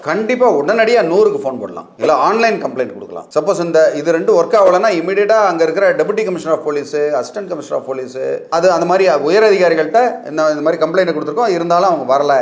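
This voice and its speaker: Fast-talking Tamil man in an echoey room. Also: a little high, animated. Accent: Tamil Nadu Tamil. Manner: emphatic, colloquial, peppered with English words. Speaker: legal expert guest answering questions. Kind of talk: informal explanatory legal advice.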